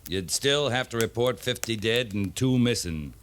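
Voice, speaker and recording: An actor playing a tough, steady cavalry captain in a 1950s radio western, terse and matter-of-fact. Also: male voice, mid-range voice, normal speed, background noise, lightly acted. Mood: firm, matter-of-fact.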